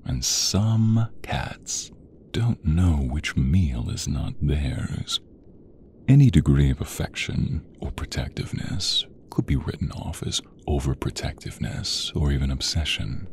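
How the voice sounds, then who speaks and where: A man performs a smitten romance narrator in an intimate ASMR storybook style. The voice is very low and dark, slow and breathy, often dropping to a whisper, with a little background noise. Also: very animated, full character voice. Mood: sultry, brooding. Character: smitten, sensual inner narrator of a romance novel.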